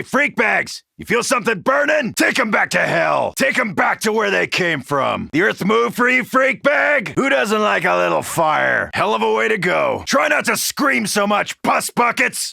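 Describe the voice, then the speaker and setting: An actor voicing a brash, cocky American soldier in a video game, gravelly and swaggering as he taunts in combat. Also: high-pitched, bright, forceful tone, dry recording, clean studio sound, exaggerated voice. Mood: menacing, gloating. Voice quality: gravelly, rough. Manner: taunting, swaggering macho bravado.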